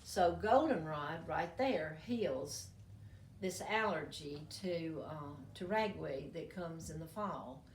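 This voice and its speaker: An older woman with a slightly hoarse voice, explaining herbal remedies in a folksy, rambling way with many ums and restarts, indoors at a museum's old doctor's office. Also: sits low, animated, slow pace, a little hiss or hum. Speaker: herbal medicine demonstrator. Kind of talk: casual show-and-tell explanation. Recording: echoey room, mic at a distance.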